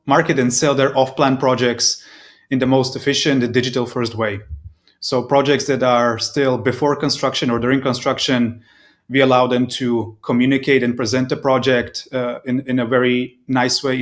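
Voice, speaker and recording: A man's voice: medium pitch, level tone, normal speed. Man in his thirties. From Serbia. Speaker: interview guest, proptech company representative. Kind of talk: conversational interview answers.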